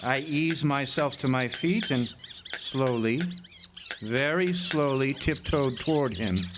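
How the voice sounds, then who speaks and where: A man's voice: mid-range voice, expressive, slow. A man playing a haunted lighthouse keeper who tells his story in a radio drama, dramatic and stammering over words. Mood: haunted, urgent. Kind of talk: radio drama first-person narration. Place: outdoor amphitheater stage. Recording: noisy outdoor live stage recording, birdsong.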